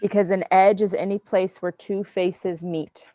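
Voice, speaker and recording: Slow, patient teacher. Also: female voice, mid-range voice, steady tone, warm tone, dry recording. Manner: patient, step-by-step counting aloud. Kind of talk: online math class review. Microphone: video call.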